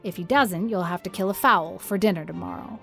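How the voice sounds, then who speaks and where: A woman's voice: fairly low pitch, fairly quick, vocal fry, bright, forceful tone, soft background music. A woman playing a strict, practical older farm guardian in an audiobook, stern and matter-of-fact as she admonishes. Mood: admonishing. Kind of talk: audiobook character acting.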